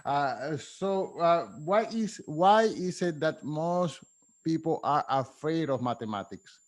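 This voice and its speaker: Higher-voiced male podcast host. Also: animated, slow. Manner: scripted intro, then warm rambling questions with laughs. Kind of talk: podcast interview.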